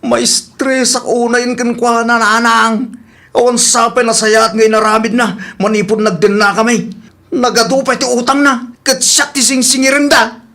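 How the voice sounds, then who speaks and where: A man's voice: very high-pitched, flat delivery, normal speed, sharp tone, heavily acted. A man playing an exasperated husband in a comedy radio drama, full of complaints and incredulous questions. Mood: fed up, complaining. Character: exasperated husband fed up with his spendthrift wife.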